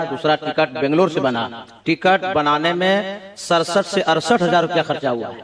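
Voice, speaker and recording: A man speaking in a public address at an event. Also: on the high side. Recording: crowd murmur behind voice.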